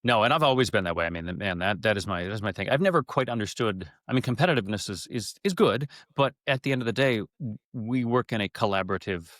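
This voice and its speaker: A man's voice: on the low side, lively intonation, fast, fairly bright tone, no echo, clean studio sound. A middle-aged man chatting casually as an interview guest, candid and often interrupting himself mid-thought as he tells stories. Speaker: interview guest, stage and radio theater actor.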